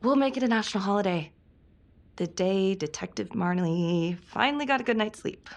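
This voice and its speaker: An actress playing a weary, anxious police detective in a cinematic game, with a wry manner. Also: female voice, medium pitch, animated, normal speed, lightly acted. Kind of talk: cinematic video game acting.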